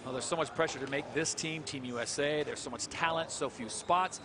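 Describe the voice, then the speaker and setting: A man calling a live skateboarding contest as play-by-play commentator, brisk and informative. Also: fairly high pitch. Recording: loud background noise.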